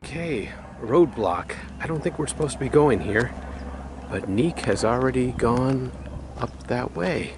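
A man's voice: mid-range voice, measured pace. A middle-aged man chatting casually as he films a walk along outdoor trails, explaining the sights as he goes. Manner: relaxed, chatty, explains what he sees. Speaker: vlog co-host. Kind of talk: casual travel vlog narration. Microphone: handheld camera mic. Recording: outdoor camera audio with wind noise.

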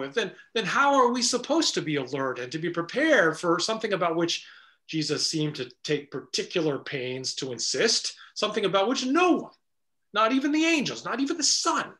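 Bright-voiced man preaching a sermon. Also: high-pitched. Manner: earnest, measured preaching with repeated phrases. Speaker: pastor. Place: small room before a stone wall, indoors.

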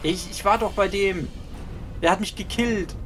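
A man's voice: high-pitched, normal speed, soft background music. A young man playing a video game with a friend, making dry, sarcastic jokes. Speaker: co-op player. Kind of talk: casual co-op gaming chat.

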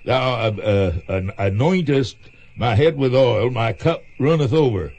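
A man reciting scripture in a radio play. His voice is of middling pitch, and he goes haltingly, stumbling as he recalls the words. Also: expressive, a little mellow, dull audio. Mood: halting, solemn. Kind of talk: radio drama acting.

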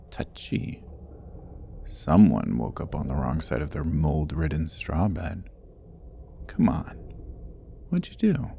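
Casting: male actor as sardonic dungeon rogue for fantasy audio roleplay; extremely low voice, unhurried, wry and teasing. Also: creaky, dark tone, a little hiss or hum, heavily acted. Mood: teasing, sarcastic. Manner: wry, teasing, often dropping toward a murmur. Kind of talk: ASMR fantasy roleplay acting.

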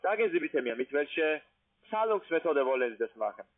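A man's voice: fairly high pitch, very animated, fairly quick. A man who is not a native German speaker, working as a call centre sales agent, insistent and ungrammatical in his phrasing. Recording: narrow tinny phone line.

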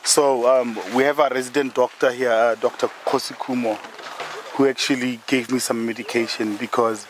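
A hoarse man with a cold, chatting casually and haltingly at an outdoor campsite, recorded by a camera someone else is holding. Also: mid-range voice, fairly even intonation, slight background noise, rough recording. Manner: easygoing, halting. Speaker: camper in the group. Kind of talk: casual chat.

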